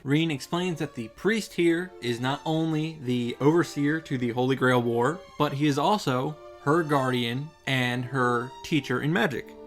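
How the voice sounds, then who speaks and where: A man hosting a visual novel recap, giving casual summary commentary with wry showman asides. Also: on the high side. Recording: music playing behind the voice.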